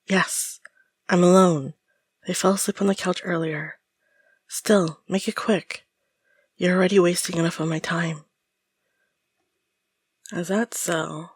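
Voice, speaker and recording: A woman's voice: fairly low pitch, breathy edge, no echo, clean studio sound, full character voice. A woman playing a guarded, irritated ex-accomplice who wants out, curt and suspicious, in an audio roleplay. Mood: wary, irritated.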